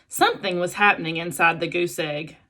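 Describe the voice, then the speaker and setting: A woman teacher reading a children's storybook aloud in an expressive narrating style. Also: on the low side, brisk.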